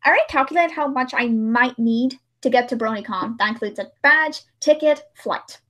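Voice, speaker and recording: A woman's voice: on the high side, moderate pace, a bit bright. A woman chatting casually as host of a livestream, in a talkative, informal way full of exclamations.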